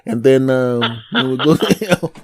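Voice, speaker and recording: A man's voice: medium pitch, normal speed, close to an everyday voice. A man putting on a brash male South Philadelphia sports fan with a thick South Philly accent, cocky and rowdy.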